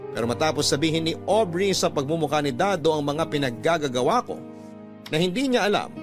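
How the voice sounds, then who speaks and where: A man with a fairly high voice reads a heartfelt letter as a radio drama narrator. He tells it in the first person as a personal confession, with music clearly playing behind him. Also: animated, a little fast. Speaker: narrator reading a listener's letter. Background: background music.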